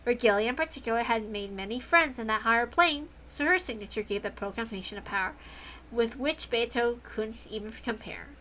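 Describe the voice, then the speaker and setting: A woman's voice: fairly high pitch, a little fast, pushed and bright, a little hiss or hum. A woman reading a story aloud as scripted narration. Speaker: reader.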